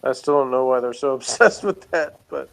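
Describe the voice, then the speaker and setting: Male reviewer, medium pitch, informal conversational delivery, prone to chuckling. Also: animated, a little fast, vocal fry. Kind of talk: casual conversational review chat.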